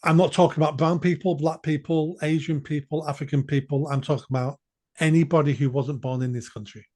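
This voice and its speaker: A man's voice: on the high side, steady tone, brisk, darkish tone. A man, a podcast guest speaking bluntly and emphatically, repeating phrases for force. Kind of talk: political podcast discussion.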